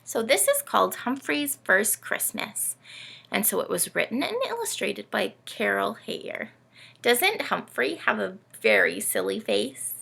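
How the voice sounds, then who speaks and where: Animated woman storytime host, bright creaky voice. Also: a little high, measured pace. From Canada. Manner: animated, teacherly, asks listeners questions. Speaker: children's read-aloud host. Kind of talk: children's storytime presenting.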